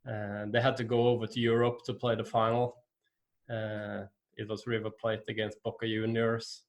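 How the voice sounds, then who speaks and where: Man, halting English with fillers. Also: lowish voice, monotone. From Norway. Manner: hesitant, many fillers and restarts. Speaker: guest. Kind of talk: casual interview chat.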